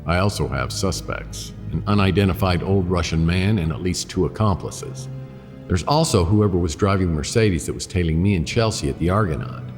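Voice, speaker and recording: An actor playing a wisecracking, self-deprecating private detective in a noir radio drama, with a deadpan delivery. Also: male voice, low voice, a little fast, soft background music, lightly acted. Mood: brooding, matter-of-fact.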